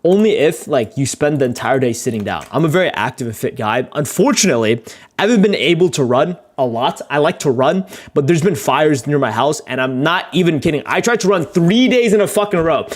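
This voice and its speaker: A man's voice: animated, fairly quick. A young man chatting casually on a livestream while he edits, rambling, joking at his own expense and swearing now and then. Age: young adult. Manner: rambling, self-mocking, swears casually, laughs often; joking, gets louder and higher when telling stories. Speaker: video creator live-streaming his editing.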